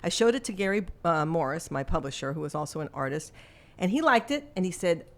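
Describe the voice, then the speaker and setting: A fairly low-pitched, middle-aged woman talking in relaxed interview chat. She tells her stories loosely, with small restarts along the way. She breaks into easy laughter often. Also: fast. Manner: chatty storyteller, laughs often, frequent restarts. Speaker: songwriter guest.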